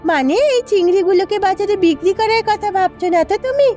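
A woman's voice: very high voice, animated, fast talker, mellow. A woman voicing an optimistic, bossy village wife in a cartoon, speaking in a coaxing, emphatic way over music. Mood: thoughtful. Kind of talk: Bengali cartoon dubbing. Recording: music under the voice.

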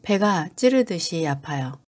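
Woman slowly drilling English phrases. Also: fairly low pitch, expressive, darkish tone. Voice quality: careful, clipped repetition. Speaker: English teacher. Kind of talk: slow drill reading of phrases.

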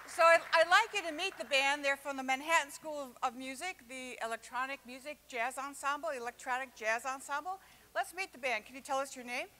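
A woman's voice: high-pitched, a little fast, pushed and bright, slight background noise. A woman in her sixties hosting a live event on stage, upbeat and chatty, speaking into a handheld mic. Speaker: host. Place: large indoor stage. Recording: stage mic in a big hall.

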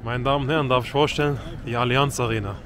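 A young man vlogging on a phone held at arm's length, chatty and excited, switching between German and Turkish while he interviews football fans. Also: mid-range voice, quick pace. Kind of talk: casual vlog with street interviews. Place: crowded football stadium and city streets at night. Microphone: phone camera at arm's length. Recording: loud crowd and music behind. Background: chanting crowd and loud music.

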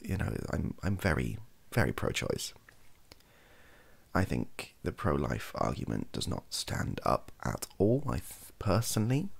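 A young man with a husky, gravelly voice, rambling softly and wryly to camera, often hesitating and correcting himself. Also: deep voice, very animated, a little slow. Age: young adult. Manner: hesitant, self-correcting, wry. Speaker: ASMR comedy video creator. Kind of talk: improvised soft-spoken rambling to camera. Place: small home bedroom office.

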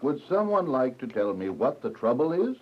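A man's voice: medium pitch, very animated, normal speed, close to an everyday voice. An actor playing a stern, impatient family father in a radio drama. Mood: irritated. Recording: muffled, noisy radio drama recording.

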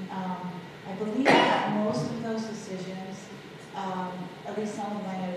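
A woman superintendent giving a formal report at a public meeting, losing her voice, measured with many fillers. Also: medium pitch. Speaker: school superintendent. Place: large public meeting room. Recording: echoey hall from far off, background noise.

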